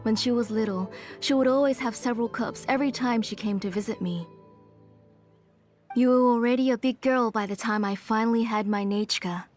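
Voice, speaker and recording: A woman playing a gentle, weary, motherly aunt in a video game, hospitable and soft-mannered. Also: a little high, brisk, natural voice. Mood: welcoming. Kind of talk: video game character acting. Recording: game music behind the voice.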